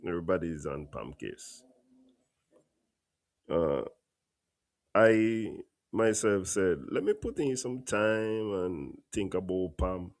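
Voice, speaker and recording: A Caribbean man hosting a live political commentary show, a little stuffy from a cold, talking plainly and bluntly in a long unhurried monologue. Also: deep voice, expressive, darkish tone, no echo, studio quality. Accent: Caribbean English. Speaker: host. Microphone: home studio mic.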